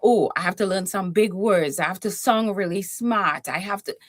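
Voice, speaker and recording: A woman's voice: mid-range voice, normal speed, no echo, studio quality. A woman from Trinidad and Tobago with a Trinidadian accent, talking as a confident, humorous guest in an interview. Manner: playful, self-assured, humorous. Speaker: guest, keynote speaker and confidence coach. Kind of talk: conversational interview.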